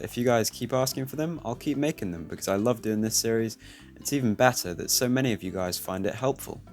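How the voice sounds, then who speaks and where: A teenage man speaking calmly and reflectively, commenting over his gameplay. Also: fairly low pitch. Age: teens. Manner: soft-spoken, reflective. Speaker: YouTuber. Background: faint music.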